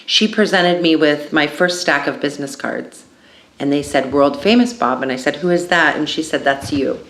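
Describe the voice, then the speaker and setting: A woman's voice: low voice, normal speed, a bit bright. A woman from California who performs drag, telling her own story candidly in an interview. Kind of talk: personal interview testimony. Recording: echoey room.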